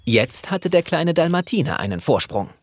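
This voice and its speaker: A man's voice: on the high side, very animated, moderate pace, noisy background. A man reading scripted narration for a children's audio play.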